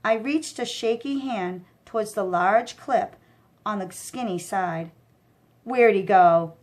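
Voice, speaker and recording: A woman with a mid-pitched voice reads a children's novel aloud. She works as a storyteller, narrating expressively in the first person. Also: a little slow.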